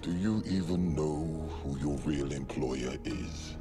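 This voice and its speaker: A man's voice: rumbling low, slow. A man playing a menacing, contemptuous monstrous villain in a game cutscene. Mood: menacing contempt. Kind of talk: scripted game cutscene dialogue. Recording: music behind the voice.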